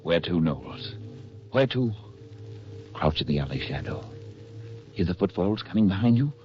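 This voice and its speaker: A hunted, exhausted fugitive in a vintage radio play. His low voice is intense and brooding. The recording is muffled, with faint music behind. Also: sing-song, normal speed. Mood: tense, brooding. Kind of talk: 1940s radio acting.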